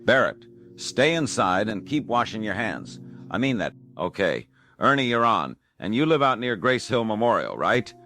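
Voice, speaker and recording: A man playing a weary but steady late-night radio host in an audio drama, plain and low-key. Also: moderate pace, heavily acted. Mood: concerned.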